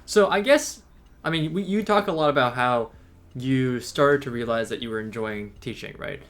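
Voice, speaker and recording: A man's voice: medium pitch, moderate pace, a bit bright. A young man co-hosting a casual podcast, joking around and asking long, rambling questions. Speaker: podcast co-host.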